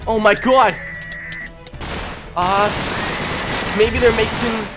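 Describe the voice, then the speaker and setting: Very high-pitched man urgently calling out gameplay. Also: steady tone, drawn-out words. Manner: urgent, shouts commands to teammates. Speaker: gaming video host playing a roleplay game. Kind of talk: excited improvised gameplay roleplay commentary. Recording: loud music behind the voice. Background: loud music.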